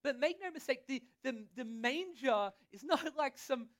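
A man's voice: very high-pitched, expressive, a bit bright. An Australian man gives a sermon in an easygoing, conversational manner. He talks in short bursts, leaving room after each one for an interpreter. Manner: casual, chatty, pauses for translation. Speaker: pastor.

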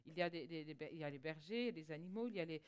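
A woman's voice: deep voice, rapid-fire, clear, resonant tone. A woman interpreting a sermon live, often hesitating and repeating small words as she searches for the right phrasing.

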